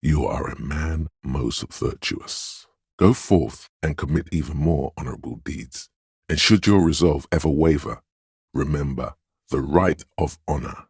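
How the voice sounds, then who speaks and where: An actor voicing a solemn, grandiose inner voice of authority in a video game, speaking in a pompous, ceremonious and deliberate manner. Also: male voice, a bass voice, vocal fry, darkish tone, husky, dry recording, clean studio sound, heavily acted. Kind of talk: scripted game voice lines, theatrical narration.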